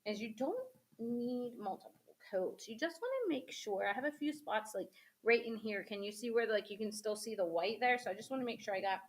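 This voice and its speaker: A woman's voice: fairly high pitch, a bit bright. A woman hosting a crafting demo, chatting casually to viewers as she paints, a little sniffly from a cold. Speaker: craft host.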